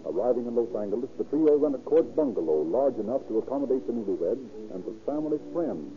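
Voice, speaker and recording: A man's voice: medium pitch, big pitch swings, fast talker. A man narrating a 1930s radio drama in a formal, dramatic storytelling manner. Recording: tinny old radio recording.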